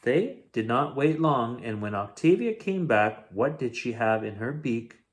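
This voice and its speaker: A man reading a children's picture book aloud as a bedtime story host, in an expressive, dramatic way. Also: medium pitch, a little slow.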